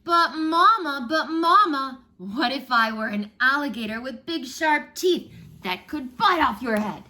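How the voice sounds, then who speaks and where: Woman doing a loud pleading little kid. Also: high-pitched, animated, pitched above the performer's own voice, heavily acted. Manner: whiny, drawn-out, emphatic pleading. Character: small pleading child asking mama questions. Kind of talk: storybook read-aloud voice.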